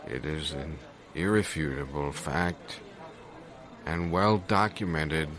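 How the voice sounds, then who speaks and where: An actor playing a halting, formal doctor of theology who is pompous yet meekly interrupting, in improvised audio comedy. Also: male voice, a bass voice, fairly bright tone, heavily acted. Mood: solemn, pompous.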